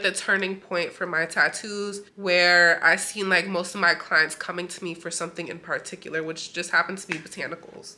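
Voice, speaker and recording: A woman's voice: mid-range voice, bright, forceful tone. A woman tattoo artist chatting casually in a vlog, her voice stuffy and nasal from a cold, with many "like" fillers.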